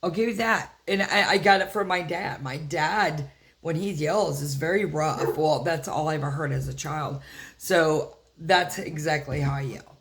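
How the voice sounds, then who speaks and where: Older woman vlogger, deep rough voice, rambling casual storytelling, lots of fillers. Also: moderate pace. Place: inside a house.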